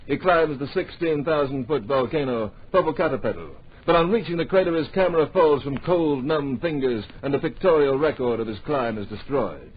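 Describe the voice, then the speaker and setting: Grand old radio narrator, muffled. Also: male voice, a little high, breathy edge. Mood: dramatic. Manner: grand, deliberate, dramatic. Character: earnest, dramatic storyteller of adventure. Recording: dull old radio recording.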